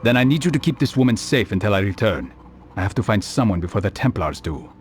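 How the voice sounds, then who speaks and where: An actor playing a calm, principled assassin in a video game, speaking in a measured, formal, eloquent way. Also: male voice, on the low side. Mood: businesslike, guarded. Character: calm, principled male assassin, philosophical and resolute. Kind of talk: video game cutscene voice acting. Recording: music behind the dialogue.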